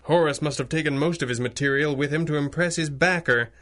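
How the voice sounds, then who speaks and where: A man's voice: on the high side, fast, a bit bright, natural voice. A man playing a clever, composed masked crime-fighter and inventor in a pulp radio drama, articulate and dryly understated. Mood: thoughtful. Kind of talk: radio drama acting, pulp adventure serial.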